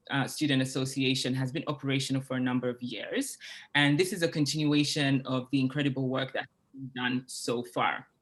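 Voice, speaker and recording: A woman's voice: a bass voice, fairly bright tone. Young woman from Uganda hosting a panel. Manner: measured, clear, gives instructions. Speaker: event host. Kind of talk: welcoming an online panel. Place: video call.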